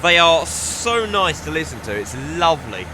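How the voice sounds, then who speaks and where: A man's voice: a little high, very animated, moderate pace, background noise. A young man giving chatty, enthusiastic commentary while playing a train simulator, often restarting his sentences. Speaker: gaming video creator. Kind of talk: casual gameplay commentary.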